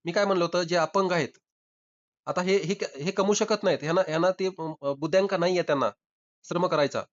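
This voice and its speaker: Guy on a lofi phone call, talks really fast, pushy debating, repeats himself. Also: high-pitched. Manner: insistent, argumentative, repeating himself. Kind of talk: informal debate. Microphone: recorded phone call. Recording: dull lo-fi call recording.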